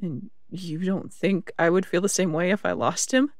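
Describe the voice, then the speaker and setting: A man acts out a defiant city cop in an audio roleplay. His voice is high and gravelly with fry, recorded very dry. He talks bluntly and stubbornly, with a sharp tongue. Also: normal speed, no room sound. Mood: firm, guarded. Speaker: voice actor.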